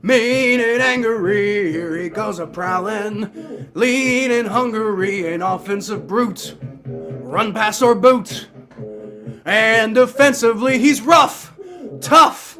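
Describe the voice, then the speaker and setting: A young man calls out his team's win on a fan livestream, yelling and swearing with raw excitement. His voice sits very high and sounds bright and strained. He has shouted himself partly hoarse. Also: slow, soft background music. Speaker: sports fan livestream host. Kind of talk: excited live fan reaction.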